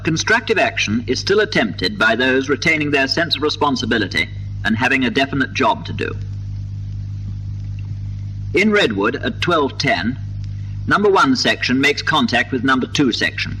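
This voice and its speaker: Formal British film narrator, bright and lively-pitched. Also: male voice, low-quality recording. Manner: formal, precise, measured. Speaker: documentary narrator. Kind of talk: scripted 1950s film narration. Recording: old film soundtrack with hiss and hum.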